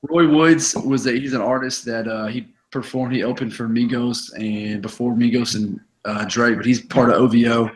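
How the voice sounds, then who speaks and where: A young man with a raspy voice, hosting a casual sports podcast and telling stories in an energetic, chatty way full of fillers. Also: medium pitch, normal speed, few pauses, low-quality recording. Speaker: podcast host.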